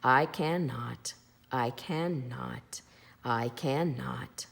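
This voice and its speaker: A woman voicing a weary old male steam engine in a storybook, with slow, sighing, breathy speech that fades toward a whisper. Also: a bass voice, long reverb tail, voice pushed down, exaggerated voice. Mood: exhausted, sighing. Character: weary rusty old male train engine, gloomy and kind-voiced.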